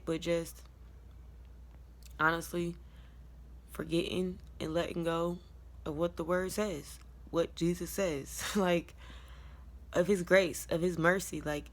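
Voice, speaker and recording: A young American woman with a deep, low-toned voice tells her personal story to camera in a casual, candid, colloquial and rambling way. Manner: candid, colloquial, rambling, chuckles as she talks. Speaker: vlogger sharing a personal testimony.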